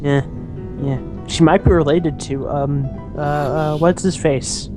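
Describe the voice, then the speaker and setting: A man giving casual, joking game commentary, speaking haltingly and repeating his words. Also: on the high side, steady tone. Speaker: let's play co-commentator. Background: game music.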